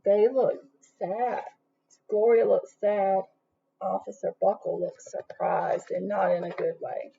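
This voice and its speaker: A woman reading a children's picture book aloud expressively, pausing to put questions and asides to her young listeners. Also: mid-range voice, little pitch movement, vocal fry, dark tone, breathy edge, muffled recording. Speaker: read-aloud storyteller.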